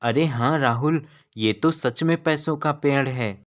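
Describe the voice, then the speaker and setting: A man voicing a curious, excited young village boy in a cartoon story, eager and amazed in manner. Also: medium pitch, unhurried, close to an everyday voice.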